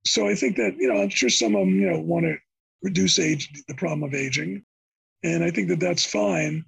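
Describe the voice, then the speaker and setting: Gravelly older man, husky and creaky. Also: on the high side, a bit bright, low-quality recording. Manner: thoughtful, meandering, restarts phrases. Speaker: interview guest, psychology professor. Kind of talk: conversational podcast interview.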